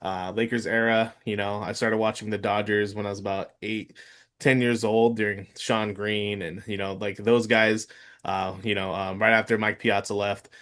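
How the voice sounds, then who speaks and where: A man's voice: on the low side, little pitch movement, fairly bright tone. A man in his mid-thirties hosting a sports podcast, talking casually with lots of filler words and restarts. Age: 30s. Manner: halting, heavy with fillers and restarts. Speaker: podcast host. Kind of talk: casual interview chat.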